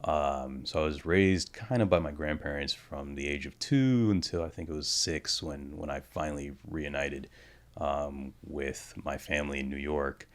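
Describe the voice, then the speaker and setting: A man's voice: very deep voice, moderate pace. A man in his thirties, born in the Dominican Republic, talking candidly as a podcast guest, reflective and full of "you know" fillers. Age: 30s. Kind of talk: candid conversational interview.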